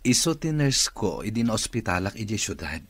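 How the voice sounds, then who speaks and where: An actor with an Ilocano accent playing a wealthy, authoritative boss in a radio drama. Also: male voice, on the low side, big pitch swings, normal speed, no echo. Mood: friendly. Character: wealthy recovering boss, stern and resolute.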